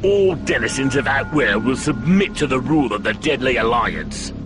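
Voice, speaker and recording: A menacing mercenary acted by a man with a lively, swinging delivery over clear background music. He is a curt, mocking bully from a video game cutscene. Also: mid-range voice, moderate pace, close to an everyday voice. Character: menacing male mercenary thug, bullying and sardonic.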